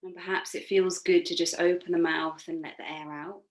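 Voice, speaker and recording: A woman yoga instructor leading a guided relaxation meditation in a soothing, gently encouraging, unforced manner. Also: lowish voice, little pitch movement, moderate pace.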